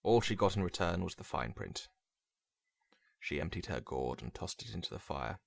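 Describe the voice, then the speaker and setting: Man, dramatic story narrator, deep voice, creaky fry, measured and brooding. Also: animated, no echo, clean studio sound.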